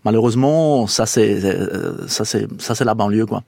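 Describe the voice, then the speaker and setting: A man's voice: fairly low pitch, moderate pace, vocal fry, darkish tone, dry recording, studio quality. A man from southern Italy, a guest researcher on a radio panel who talks thoughtfully and restarts his phrases often. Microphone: studio microphone.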